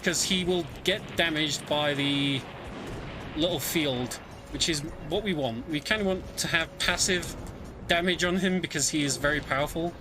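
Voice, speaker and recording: A man giving casual, conversational live commentary on a video game speedrun, often pausing with ums. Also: fairly high pitch. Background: game music.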